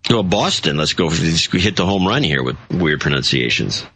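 A man's voice: extremely low pitch, sing-song, moderate pace, sharp tone, slight background noise. A middle-aged man with a slight Midwestern accent, co-hosting a casual podcast chat with wry, dry quips. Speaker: podcast co-host.

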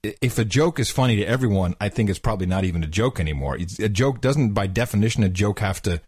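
Animated, laughing male podcast co-host. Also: fairly low pitch, fast talker, husky, no echo, clean studio sound. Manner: loud, incredulous, laughs often. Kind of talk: casual opinionated banter.

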